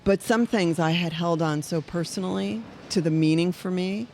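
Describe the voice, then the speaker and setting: A woman playwright chatting on a podcast in an animated, fast-paced way, with laughing asides. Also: on the low side, gravelly, slight background noise, low-quality recording. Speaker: playwright guest. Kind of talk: casual chat.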